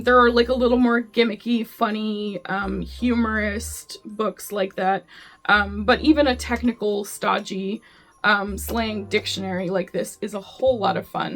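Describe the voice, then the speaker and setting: A woman's voice: fairly high pitch, creaky. A young woman chatting casually in an upbeat vlog, full of ums and likes. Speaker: vlogger. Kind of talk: casual gift-guide vlog.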